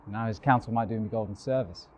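A man performing a bewildered, exhilarated young Shakespearean gentleman in love, in a declamatory classical stage monologue. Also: on the low side, natural voice. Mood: awed, bewildered. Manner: declamatory, deliberate, marveling. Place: outdoors, park bench. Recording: noisy outdoor recording with passing vehicles.

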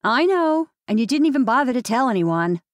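A woman's voice: a little high, lively intonation, normal speed, a little mellow, no echo, studio quality. A woman narrator voicing a blunt, busy young mother scolding her sister. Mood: sharp.

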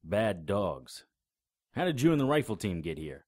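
A man's voice: fairly low pitch, sing-song, normal speed, darkish tone. A man acting a wry, tough private investigator with sardonic delivery in an audio drama. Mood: brisk, commanding.

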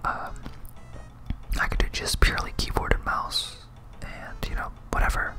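An ASMR game streamer speaks in an unvoiced whisper. The delivery is casual, like muttering to oneself while playing, and music plays clearly behind it. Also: moderate pace. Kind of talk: whispered ASMR gameplay commentary.

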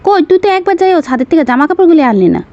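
A woman's voice: extremely high pitch, lively intonation, racing, darkish tone, lo-fi sound. A woman playing a harried, grumbling cartoon mother with a Bangladeshi Bengali accent, complaining in colloquial speech. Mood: exasperated scolding. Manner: complaining, exasperated.

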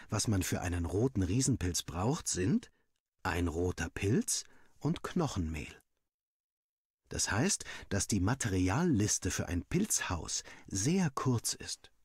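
A man reading a first-person story as a narrator, acting out the dialogue in an animated way. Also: on the low side, breathy edge, no room sound, studio quality. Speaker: audiobook reader.

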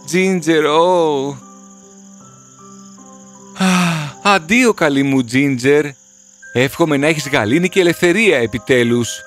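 A man's voice: fairly high pitch, pauses often, fairly bright tone, close to an everyday voice. Earnest, sorrowful horse storyteller played by a man. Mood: tender, grieving. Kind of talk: storybook cartoon narration. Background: music.

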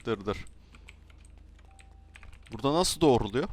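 A man's voice: mid-range voice, animated, unhurried, darkish tone. A man bantering casually while trolling in an online roleplay game, switching between mock politeness and slang. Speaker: gaming YouTuber.

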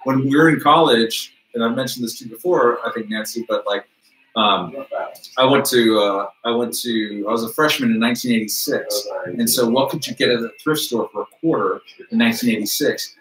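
A man's voice: medium pitch, a little fast, fairly bright tone, recorded from a distance. A man in his fifties telling meandering, profane stories in casual chat. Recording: echoey room from off-mic.

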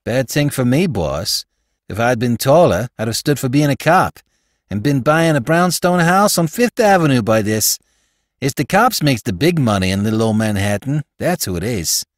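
A man voicing an eager, dim small-time crook in an audiobook, with a New York accent and slangy, ungrammatical, excitable talk. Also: mid-range voice, normal speed, dry recording, clean studio sound, close to an everyday voice. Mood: boastful.

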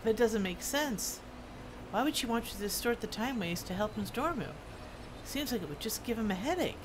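A woman game streamer casually commenting on her play and reading quest text aloud, musing and halting as if to herself. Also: a little high, animated. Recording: clear game ambience and noise. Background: video game ambience.

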